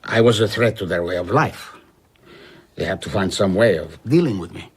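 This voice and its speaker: A man's voice: low voice, normal speed, breathy edge. An actor playing a haunted, wistful traveller reflectively recounting his past in a radio drama. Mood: grave. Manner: reflective, storytelling.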